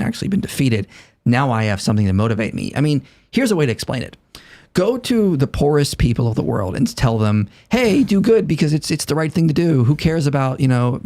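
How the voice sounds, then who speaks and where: Man in his thirties, podcast guest, earnest and argumentative, mid-pitched voice. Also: lively intonation, brisk, a little mellow. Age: 30s. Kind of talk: casual conversation.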